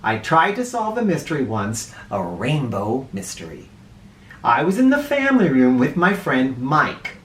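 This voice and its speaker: A man tells a children's story in a roomy, echoing space, some distance from the microphone. His delivery swings widely in pitch, theatrical and animated, leaning on key words with drawn-out emphasis. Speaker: children's read-aloud storyteller. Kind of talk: expressive storybook read-aloud. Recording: roomy echo, distant mic.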